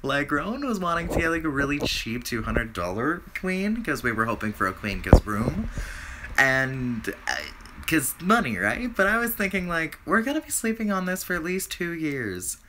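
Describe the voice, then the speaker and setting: A man whose voice is raspy from a recent flu, rambling through a casual video update with lots of fillers and restarts. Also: fairly high pitch, sing-song, moderate pace, background noise. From Canada. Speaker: vlogger.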